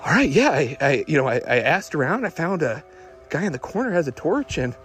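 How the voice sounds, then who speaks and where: A man playing an excitable, obsessive car lover who records a rambling, stammering, eager audio diary. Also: on the high side, faint music behind. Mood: restless, eager. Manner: halting, stammering, breathlessly eager.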